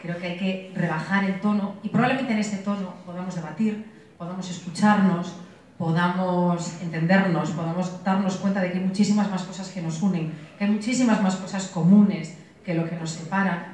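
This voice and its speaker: A middle-aged woman from Galicia speaking earnestly on a discussion panel, measured and full of restarts. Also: on the low side, steady tone, a little mellow. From Galicia, Spain. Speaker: panel speaker, opposition spokesperson. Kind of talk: panel debate remarks. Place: conference hall. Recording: echoey hall, distant mic.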